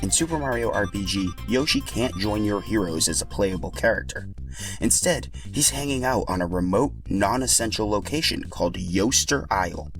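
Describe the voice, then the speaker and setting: A man's voice: on the low side, animated, moderate pace, fairly bright tone, soft background music. A man hosting a podcast and reading scripted narration as a storyteller.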